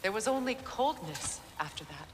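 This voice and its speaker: A woman playing a sorrowful, regal middle-aged goddess and mother in a video game, speaking in a measured, earnest, wistful way. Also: mid-range voice, animated, slight background noise, close to an everyday voice. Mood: bitter, hurt. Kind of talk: cinematic video game acting.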